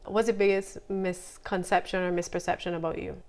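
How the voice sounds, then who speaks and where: A woman with a Barbadian accent hosting a casual interview, relaxed, quick to laugh, and adding short affirmations. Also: on the low side. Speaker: interview host.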